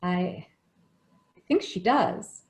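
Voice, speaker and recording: A woman's voice: on the low side, animated, extremely slow. A woman playing a caring, motherly but firm nanny in an old-time radio play. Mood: worried. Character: caring, protective nanny to a young girl. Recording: echoey room with noise and clicks.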